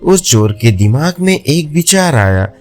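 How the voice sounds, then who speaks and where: A man's voice: fairly high pitch, very animated, a little slow, a little mellow, faint music behind. A man narrating a scripted folk tale as a storyteller.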